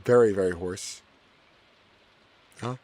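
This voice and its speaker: A man with a hoarse, cracking voice chatting casually while playing a farming game, often repeating his own phrases. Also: on the low side, fairly even intonation, takes it slowly, mellow, a little hiss or hum. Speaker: gaming streamer. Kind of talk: casual gameplay commentary.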